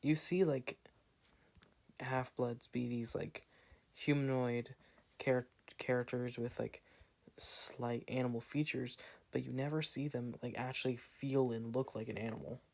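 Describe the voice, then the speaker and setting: Gravelly Southern man rambling over gameplay. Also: mid-range voice, steady tone, a little slow, darkish tone. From Southern United States. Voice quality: meandering with frequent "like" fillers and trailing asides. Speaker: gameplay commentator. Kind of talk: rambling casual commentary.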